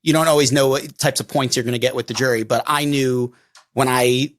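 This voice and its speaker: A man's voice: medium pitch, fairly even intonation, fairly bright tone. Man, New York accent, middle-aged, podcast co-host, stop-and-start phrasing, lots of fillers. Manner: halting, many restarts and fillers. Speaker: defense attorney co-host. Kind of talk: casual podcast chat.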